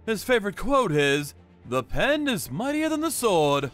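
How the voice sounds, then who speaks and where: A boastful, hot-headed young yakuza as a male actor plays him tells an anime war story. His voice is bright, slightly high and very animated, with a combative, emphatic push. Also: measured pace, faint music behind, full character voice. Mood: eager. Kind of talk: scripted anime explainer dub narration.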